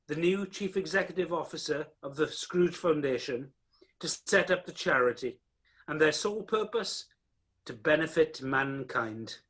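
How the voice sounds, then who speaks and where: A man's voice: a little high, fairly bright tone, slightly rough, full character voice. A man playing the narrator of an amateur radio play, telling the story directly to the listener.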